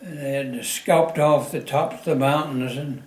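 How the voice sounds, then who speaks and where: Very old guy from southwest virginia, talks real slow and stops a lot, slightly high voice, echoey room. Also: fairly even intonation, darkish tone. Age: 90s. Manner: halting, searching for words, many pauses and fillers. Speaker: interviewee. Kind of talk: oral history interview.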